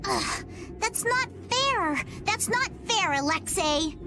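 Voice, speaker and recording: A woman's voice: very high-pitched, lively intonation, slow pace, music in the background, heavily acted. A woman voicing an earnest, outspoken and plucky youngster in a video game. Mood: indignant.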